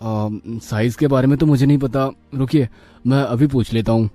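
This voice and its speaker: An ordinary man at a street market, plain and measured, in a storybook dialogue acted by a man. His pitch is average and soft music plays behind him. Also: steady tone, no room sound, natural voice. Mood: hesitant. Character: ordinary male market customer or shopkeeper, plain-spoken.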